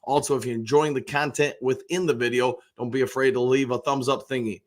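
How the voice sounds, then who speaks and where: Male American sports show host, medium pitch, mildly hoarse, casual conversational commentary that wanders as he goes. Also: fairly even intonation, a little fast. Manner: chatty, rambling, conversational. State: slightly hoarse. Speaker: sports show host, basketball coach and realtor.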